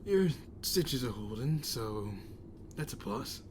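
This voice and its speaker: A man playing a calm, caring friend tending wounds in an audio drama, measured and lightly wry. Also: medium pitch. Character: calm, caring, drily humorous man acting as medic. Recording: clear background ambience noise.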